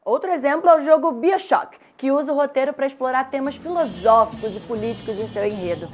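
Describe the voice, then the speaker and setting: A woman's voice: high-pitched, a little fast, music in the background. A woman with a Brazilian Portuguese accent narrating a scripted online lesson in a didactic way, speaking straight to the students. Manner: didactic, addresses students directly. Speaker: teacher presenting an online course.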